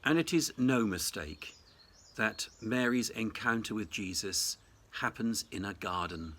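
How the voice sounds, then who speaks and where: A man, a senior Anglican clergyman, delivering a reflective Easter sermon in a measured, deliberate, formal way. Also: fairly low pitch, animated, a bit bright. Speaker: Anglican cathedral dean giving Easter message. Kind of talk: reflective sermon, prepared address.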